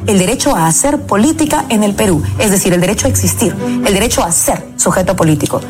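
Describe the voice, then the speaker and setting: A woman's voice: mid-range voice, lively intonation, fast talker. A Peruvian woman with a Peruvian Spanish accent giving an impassioned, rhetorical political editorial in a rallying tone. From Peru. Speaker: political commentator. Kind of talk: scripted political editorial over music. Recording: music bed underneath.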